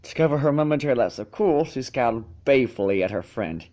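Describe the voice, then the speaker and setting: A man's voice: medium pitch, animated, fast talker, a little mellow, highs cut off. A man narrating fan fiction as an amateur reader, stumbling and rereading phrases now and then.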